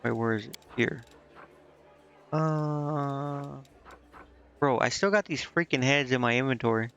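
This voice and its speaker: A man's voice: mid-range voice, measured pace, a bit bright, a little hiss or hum. A man casually commenting on a video game he is streaming, thinking aloud and reading bits of on-screen text. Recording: slightly dull lo-fi mic, game music behind.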